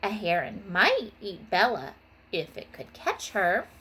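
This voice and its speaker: A woman's voice: medium pitch, big pitch swings, takes it slowly, fairly bright tone, slightly rough, full character voice. A woman reading a storybook aloud as a confident, adventurous female science teacher. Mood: reassuring.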